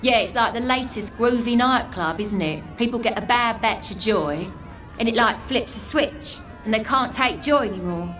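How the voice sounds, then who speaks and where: A woman's voice: a little high, normal speed, a bit bright, soft background music, close to an everyday voice. An actress as a cheeky, streetwise Englishwoman. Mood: blunt, wry. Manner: mocking, blunt, slangy. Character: streetwise, scornful homeless Englishwoman, cheeky survivor. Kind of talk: video game character acting.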